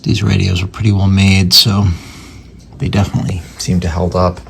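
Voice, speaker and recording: Deep, gravelly man thinking aloud. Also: little pitch movement, normal speed, fairly bright tone. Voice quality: gravelly, husky. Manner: informal, thinking aloud while working. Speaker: radio repairer presenting his restoration. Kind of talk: casual hands-on repair commentary.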